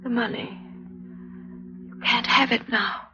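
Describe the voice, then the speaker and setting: An actress playing a dying, bitterly forgiving wife, faint, breathy and faltering, in 1940s radio drama style. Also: female voice, fairly high pitch, steady tone, slow, bright, forceful tone, soft background music. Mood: fading, sorrowful. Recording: muffled old radio broadcast.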